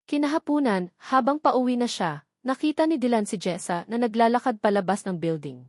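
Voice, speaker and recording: A woman reading a scripted romantic story in a measured, dramatic way. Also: a little high, animated, no room sound, studio quality. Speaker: narrator.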